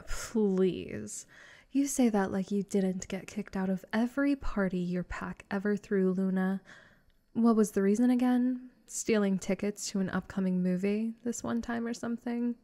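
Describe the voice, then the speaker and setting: A woman's voice: mid-range voice, unhurried, warm tone, lightly acted. A woman playing a possessive, lovesick vampire in a comedic roleplay audio drama, sarcastic and theatrical. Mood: mocking. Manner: sarcastic and theatrical, exasperated sighs, breathy flirting.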